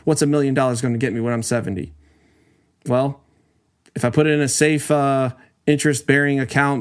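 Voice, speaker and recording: Man, 40s, podcast host; casual explainer, conversational, rhetorical questions. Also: mid-range voice.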